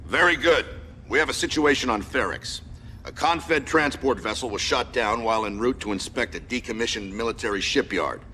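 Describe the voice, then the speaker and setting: A man playing a stern, authoritative military commander giving formal, crisp mission briefings in a video game. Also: medium pitch, normal speed, pushed and bright, slight background noise, lightly acted.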